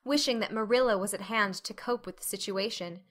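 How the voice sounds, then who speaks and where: A woman's voice: medium pitch, fairly quick. A woman reading a classic novel aloud as an audiobook narrator, in a scripted, dramatic storytelling style.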